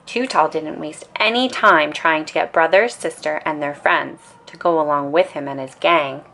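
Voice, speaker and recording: A woman reading a children's story aloud in an expressive bedtime storytelling style. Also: deep voice, moderate pace.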